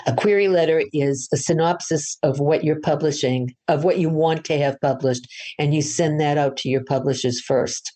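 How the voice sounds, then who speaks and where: A woman's voice: low voice, normal speed, hardly any pauses, mellow. An older woman from Boston, an author, chatting through her interview answers in a storytelling way, with frequent restarts. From Boston, USA.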